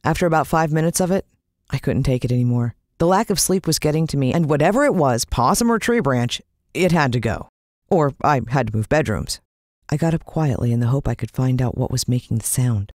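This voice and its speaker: An American woman narrating a children's story in the first person, expressive and suspenseful. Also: extremely low pitch, fairly quick, no room sound, clean studio sound. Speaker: audiobook narrator.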